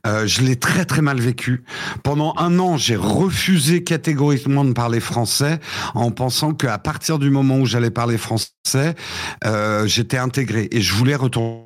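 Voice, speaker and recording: A man in his fifties, raised in the United States with English as his first language, tells his childhood story in a lively, stop-and-start way. His voice sits at an ordinary middle pitch. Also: moderate pace. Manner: animated storytelling with frequent restarts. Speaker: guest. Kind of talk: casual interview chat.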